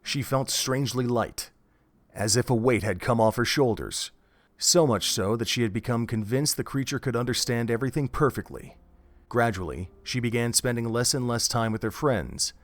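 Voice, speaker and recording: A man narrating an audio drama in an expressive storybook manner. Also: medium pitch, moderate pace. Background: faint music.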